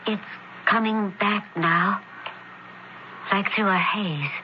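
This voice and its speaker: An actress playing a devoted, anxious young Roman woman in a radio drama, with dramatic pauses. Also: medium pitch, natural voice. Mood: dazed. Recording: muffled, faintly noisy radio broadcast.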